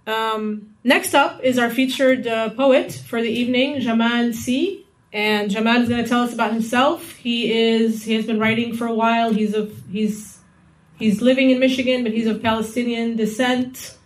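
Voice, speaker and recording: A woman's voice: fairly high pitch, fairly even intonation, normal speed. A woman hosting a poetry evening, praising the last artist and introducing the next poet in a rambling, encouraging way. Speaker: event host. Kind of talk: introducing performers at a poetry night.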